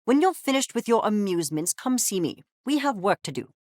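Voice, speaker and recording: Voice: mid-range voice, sing-song, brisk, darkish tone, no echo, clean studio sound, close to an everyday voice. An actor, sounding female, voicing an arrogant, obsessed mad-scientist creature in a video game, with pompous, clinical, precise diction. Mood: haughty. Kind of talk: theatrical game character acting.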